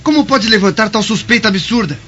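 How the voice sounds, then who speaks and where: Um ator de radionovela interpretando um jovem nobre romano reservado e indignado, com fala orgulhosa e formal. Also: male voice, sits high, animated, fairly quick. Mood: indignant. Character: reserved, indignant young Roman nobleman defending himself. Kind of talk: radio drama acting. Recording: dull lo-fi radio, music underneath.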